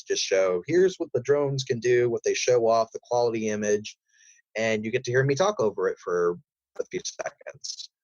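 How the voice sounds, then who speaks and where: A man's voice: on the low side, a little mellow, no echo, dull audio. A man speaking as an interview guest about his voice work, in a rambling, enthusiastic way. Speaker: guest, voice actor.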